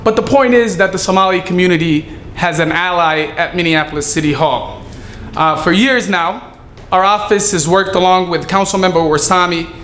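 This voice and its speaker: A man giving a prepared speech at a community event, delivered earnestly and measured, with some halting fillers. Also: sits high, a bit bright, slight background noise. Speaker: city staffer giving a speech. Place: event hall. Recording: echoey room with faint ambience.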